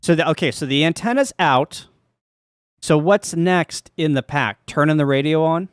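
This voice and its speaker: Slightly high-voiced man, casual interviewer. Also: smooth, no echo, clean studio sound. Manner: attentive, halting questions with frequent short acknowledgements. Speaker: interviewer, live stream host.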